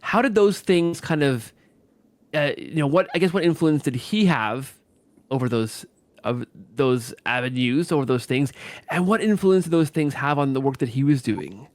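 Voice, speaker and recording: A man hosting a conversational interview podcast, who rephrases haltingly as he goes and often tags his points with a question seeking agreement. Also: fairly high pitch, expressive. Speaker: podcast host.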